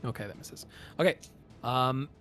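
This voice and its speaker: A man running a tabletop game as game master, narrating the action casually and thinking aloud in halting phrases. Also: medium pitch, a bit bright, soft background music.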